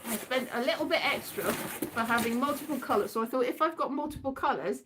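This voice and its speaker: A British woman telling casual, rambling comic anecdotes in an animated, theatrical way, acting out exchanges as she goes. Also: high-pitched, a little fast, background noise. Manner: animated, theatrical, acts out exchanges, breaks into sing-song. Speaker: solo host of an audio show.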